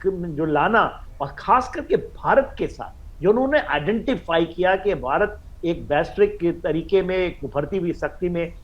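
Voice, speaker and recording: An Indian man giving expert commentary on a news panel, halting and repeating himself, mixing in English phrases. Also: sits high, expressive, dull audio. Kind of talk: live news panel analysis.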